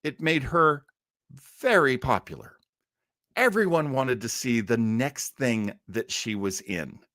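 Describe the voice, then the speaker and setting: A middle-aged man hosting a show with casual, off-the-cuff commentary and halting, conversational asides. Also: medium pitch, lively intonation, fairly bright tone, dry recording, clean studio sound. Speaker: show host.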